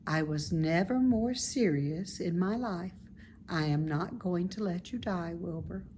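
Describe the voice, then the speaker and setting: A woman voicing a calm, motherly female spider in a storybook, measured, comforting and gently firm. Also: fairly low pitch, slight background noise, close to an everyday voice.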